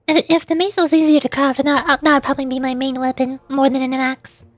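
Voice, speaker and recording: High-voiced woman chatting casually on stream. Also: little pitch movement, brisk, no room sound. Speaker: VTuber livestreamer. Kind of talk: casual livestream chat answering viewers.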